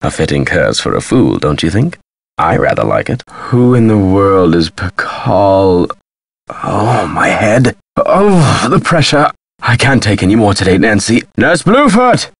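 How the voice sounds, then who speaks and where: An actor playing a pompous, melodramatic, highly strung male scholar in a video game, with a raspy voice and theatrical delivery. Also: dry recording, heavily acted. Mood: distraught, melodramatic. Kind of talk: theatrical scripted game dialogue.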